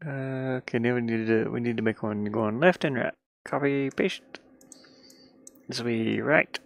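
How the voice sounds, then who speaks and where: Casual male developer, tired and creaky-voiced, rambling half to himself, playful, dry close mic. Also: mid-range voice, moderate pace, no echo. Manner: muttering to himself, playful sing-song sounds. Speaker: indie game developer narrating own work. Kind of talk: casual rambling commentary while working.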